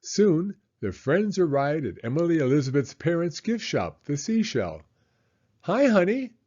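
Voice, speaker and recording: Male storybook reader for children: expressive, animated delivery, soft mellow tone. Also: fairly high pitch, normal speed, highs cut off. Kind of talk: children's read-aloud narration.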